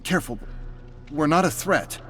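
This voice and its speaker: A man's voice: on the high side, animated, moderate pace, pushed and bright, faint music behind, voice pushed up. A man voicing a level-headed man in a dramatic story, urgently reasoning his way through a standoff. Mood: urgent, wary. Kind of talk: dramatic audiobook character voice.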